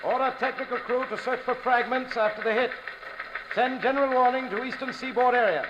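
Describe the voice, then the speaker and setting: Commanding plotting-room officer, 1950s radio drama. Curt, extremely high pitch, muffled vintage sound. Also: male voice, steady tone, fairly quick. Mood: businesslike. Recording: muffled, noisy old radio recording.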